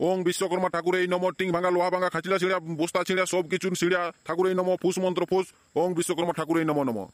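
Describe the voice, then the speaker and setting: A man plays a lazy village priest in a comedy cartoon. He rattles out his words in a very high, flat drone, matter-of-fact, with a Purulia Bengali accent. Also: very fast, no echo, clean studio sound, natural voice. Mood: mock chanting. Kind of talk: comedy cartoon dialogue with mock chanting.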